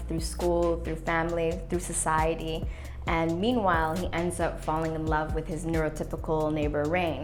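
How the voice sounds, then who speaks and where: Earnest young woman, lowish voice. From Canada. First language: English. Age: 20s. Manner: earnest, reflective, motivational. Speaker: playwright and youth worker. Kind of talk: documentary interview.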